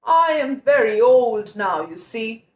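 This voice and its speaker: A woman's voice: a little high, very animated, takes it slowly, reverberant. A woman voicing a scheming old male crane in a children's story, grumbling and self-pitying in a mock-mournful way. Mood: sly, obliging.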